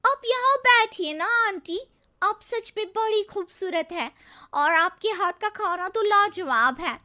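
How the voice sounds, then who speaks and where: A woman doing an excitable young party guest, outspoken, very high, animated pitch, cartoon moral tale. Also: measured pace, exaggerated voice. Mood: delighted, gushing. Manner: gushing, exclamatory. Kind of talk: cartoon moral-story acting.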